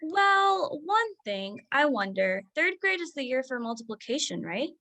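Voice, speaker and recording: A woman acting a brisk, higher-voiced working mother. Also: very animated, pushed and bright, heavily acted. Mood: brisk, probing. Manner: brisk, interrupting, insistent. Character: overworked, defensive, ambitious doctor mother, brisk and proud. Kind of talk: staged reading of contemporary drama.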